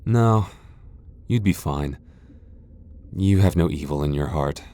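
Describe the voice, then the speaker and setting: Casting: male actor as haughty tsundere vampire lord, low-pitched, prickly and easily flustered, for intimate boyfriend roleplay audio. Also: animated, takes it slowly, dark tone, exaggerated voice. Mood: reassuring, reluctant. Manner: haughty, defensive, stammering when flustered. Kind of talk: ASMR boyfriend roleplay acting.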